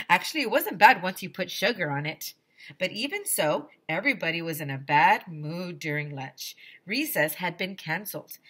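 A woman in her thirties, a teacher reading a children's book aloud in a deliberate, dramatic way on a headset mic. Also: fairly low pitch, bright, forceful tone, husky. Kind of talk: expressive children's storybook read-aloud. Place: small room decorated as a classroom.

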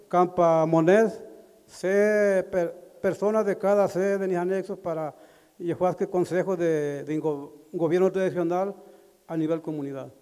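A middle-aged man addressing a community meeting through a handheld microphone, speaking haltingly with pauses and fillers. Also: sits high, mellow, clear, resonant tone. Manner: halting, with pauses and fillers, switching between languages. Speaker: community member at a consultation. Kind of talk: public address. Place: large indoor community hall. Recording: echoey hall over a PA.

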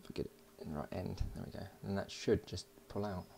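Male maker, deep and creaky, casual unhurried tutorial delivery at a workbench. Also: fairly even intonation, mellow, a little hiss or hum. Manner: unhurried, thinking aloud while working. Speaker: host of an electronics build video. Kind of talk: casual hands-on tutorial narration. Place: indoors at a workbench.

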